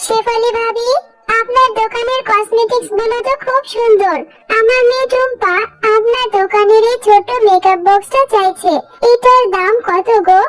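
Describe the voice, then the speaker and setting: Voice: very high-pitched, fairly even intonation, normal speed. An actor voicing a poor, weary but caring mother who scolds and soothes, in a cartoon story with music behind. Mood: hesitant, polite. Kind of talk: Bengali cartoon story dubbing.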